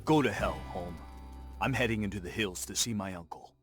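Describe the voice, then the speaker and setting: An actor playing an earnest, principled Lakota man in a video game, with a measured, firm and serious manner. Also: lowish voice, husky, faint music behind. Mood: defiant. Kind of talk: scripted game cutscene acting and narration.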